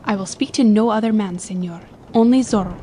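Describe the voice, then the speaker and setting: A woman's voice: mid-range voice, brisk, dark tone, natural voice. Actress as defiant young noble lady, impassioned, dignified, formal speech, audiobook drama, faint background noise. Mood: firm, resolute. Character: proud, defiant young noblewoman pleading for her love. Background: ambience under the voice.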